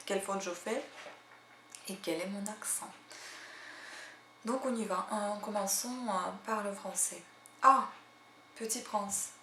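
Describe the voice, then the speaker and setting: Woman, casual halting talk with many fillers, echoey room, faint background hum. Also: medium pitch, fairly bright tone. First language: Russian. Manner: chatty, hesitant, full of "euh" fillers. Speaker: language-learning channel host. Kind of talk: casual spoken language tips and read-aloud practice.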